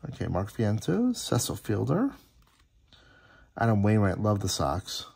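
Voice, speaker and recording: Gravelly-voiced man chatting through a hobby video. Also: lowish voice, wildly swooping pitch, a little slow, a little mellow. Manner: chatty, appreciative, reading names aloud. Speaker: host opening sports card packs. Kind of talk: casual running commentary. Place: indoor room at a tabletop.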